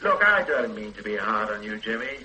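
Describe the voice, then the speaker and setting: An actor playing a stern middle-aged police boss who lectures and mocks a junior officer, in radio drama style. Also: male voice, fairly low pitch, sing-song, a little fast, very noisy. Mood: firm. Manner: lecturing, brusque, mocking. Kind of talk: 1980s radio drama acting.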